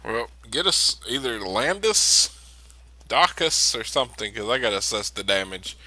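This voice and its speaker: A man's voice: medium pitch, very animated, normal speed, bright, forceful tone, rough recording. A man playing a tabletop role-playing game, chatting casually with exasperated swearing.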